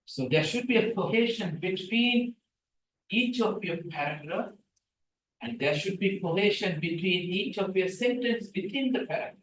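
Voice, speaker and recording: A man's voice: high voice, normal speed, a little hiss or hum. Echoey lecturing man, native Tamil speaker. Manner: animated, asks the room questions. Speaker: guest lecturer. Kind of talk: lecture on scientific writing. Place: seminar room, hybrid session. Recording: echoey room from a distance.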